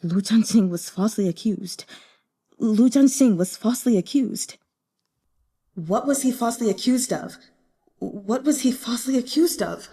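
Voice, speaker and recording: A woman voicing an anguished, desperate male general in an audiobook, halting and emotionally intense. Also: on the high side, lively intonation, mellow, big-room sound. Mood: dazed disbelief. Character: anguished male general, common-born, shocked, raw and desperate. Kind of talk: audiobook character acting.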